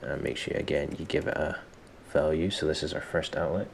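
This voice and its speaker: A man with an extremely low voice and plenty of gravelly fry walks through a software tutorial. He is informal and self-deprecating, thinking aloud as he goes. A faint background noise sits under the recording. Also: brisk. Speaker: tutorial presenter.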